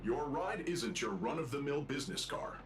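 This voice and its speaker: An actor voicing a polite robot security guard and escort in a video game, speaking in formal, measured phrases. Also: male voice, medium pitch, lively intonation, lightly acted. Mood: courteous. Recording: echoey voice over music.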